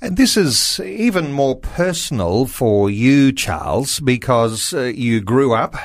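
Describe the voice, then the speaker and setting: A man interviewing a guest, setting up his questions in a measured way. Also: medium pitch, expressive, no room sound, studio quality.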